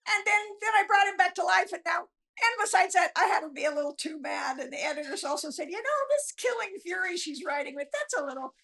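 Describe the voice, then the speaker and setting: Casting: female author guest, very high and bright voice, casual animated storyteller. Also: brisk, vocal fry. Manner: animated storyteller, acts out bits of dialogue. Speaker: guest novelist. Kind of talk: casual interview chat.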